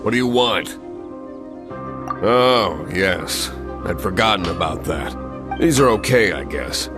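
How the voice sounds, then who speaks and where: An impatient village blacksmith in a video game. His voice sits fairly low and he talks in curt, grumbling phrases. Music is clearly heard behind him. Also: lively intonation, takes it slowly. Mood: irritated.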